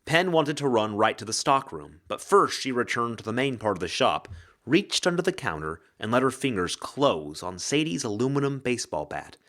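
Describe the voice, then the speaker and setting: Animated male fiction narrator. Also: medium pitch, normal speed. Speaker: audiobook reader, actor. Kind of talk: dramatic scripted fiction narration.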